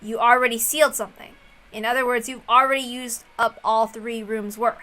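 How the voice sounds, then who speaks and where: A woman's voice: a little high, steady tone, brisk, pushed and bright. A woman voicing a wary, sardonic man, a proud rival in a visual novel reading. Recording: music under the voice.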